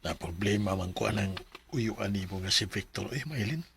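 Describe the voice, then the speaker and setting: A man's voice: fairly low pitch, expressive, normal speed, gravelly, a crowd in the background. An actor with a Cebuano accent playing a worried older male relative in a radio drama, troubled and grumbling. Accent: Cebuano Filipino.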